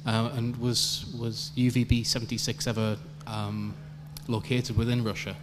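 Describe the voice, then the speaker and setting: A man asks a halting question from the audience of a big echoing hall. His voice is mid-pitched and somewhat mumbly, with clear hum and noise around it. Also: flat delivery. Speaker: audience member asking a question. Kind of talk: informal, halting question from the floor. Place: large venue, audience floor.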